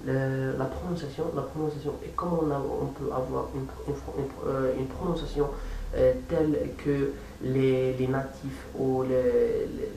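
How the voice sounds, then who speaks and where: A man with a non-native accent talks casually and unscripted as a language-learning vlogger, haltingly repeating words as he searches for phrasing. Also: mid-range voice, level tone, gravelly, warm tone. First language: not French or English. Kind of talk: casual unscripted vlog talk. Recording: echoey room, distant mic, faint hiss.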